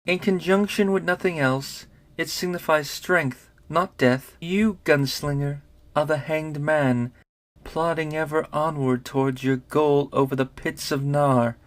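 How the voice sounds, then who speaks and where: A man voicing a mocking, sinister fortune-telling sorcerer in a storybook reading, with theatrical, drawn-out phrasing. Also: a little high, fairly even intonation, pitched above the performer's own voice, lightly acted. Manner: taunting, drawn-out, theatrical delivery.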